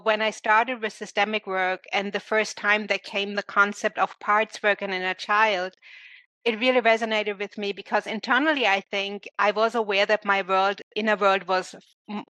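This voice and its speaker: This is a woman telling her own story as a podcast guest. She speaks reflectively and often restarts her sentences. Her tone is bright and a little tense, and the recording is dry and close. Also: medium pitch, fairly even intonation, normal speed, no room sound, poor audio. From Germany. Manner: reflective, searching for words, frequent restarts. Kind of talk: personal conversational storytelling.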